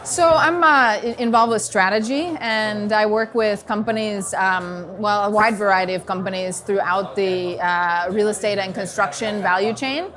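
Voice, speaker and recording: American woman consultant, upbeat and fluent, casual filler-heavy interview talk, mid pitch. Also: moderate pace, few pauses, a bit bright, a little hiss or hum. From New York. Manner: fluent, enthusiastic, many "you know" fillers. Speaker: strategy consultant, guest. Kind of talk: conversational interview. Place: busy event venue in a container.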